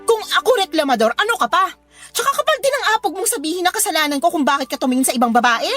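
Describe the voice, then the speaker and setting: Overworked young mother, radio drama; piercingly high voice, biting and emotional, light music behind. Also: big pitch swings, fast talker, heavily acted. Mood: sarcastic, angry. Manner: sharp, sarcastic, emotional. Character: overworked, fed-up young mother confronting her cheating partner. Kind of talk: Filipino radio drama acting.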